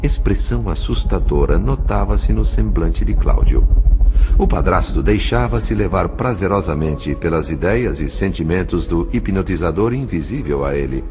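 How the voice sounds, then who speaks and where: A man's voice: deep voice, expressive, normal speed. A man playing a grave spirit observer, narrating an audio drama in solemn, formal, literary style. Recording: clear music under the voice.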